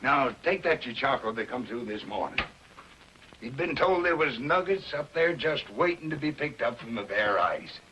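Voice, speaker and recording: An actor playing a crusty, excitable old Yukon storekeeper who talks in folksy frontier slang, in a 1950s television western. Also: male voice, mid-range voice, normal speed, lightly acted. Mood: gossipy, dramatic. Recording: noisy vintage 1950s television soundtrack.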